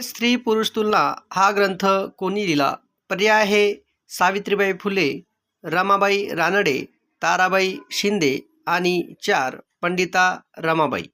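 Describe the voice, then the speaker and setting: High, bright-voiced man quizzing. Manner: measured, formulaic question-and-answer delivery. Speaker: exam-prep quiz teacher. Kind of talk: reading out multiple-choice quiz questions and answers.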